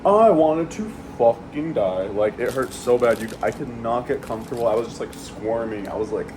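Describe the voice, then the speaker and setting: A man's voice: mid-range voice, moderate pace. A man chatting casually while he eats, rambling and swearing freely, with lots of fillers. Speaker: vlogger eating on camera. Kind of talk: casual chat while eating. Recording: steady air conditioning hum.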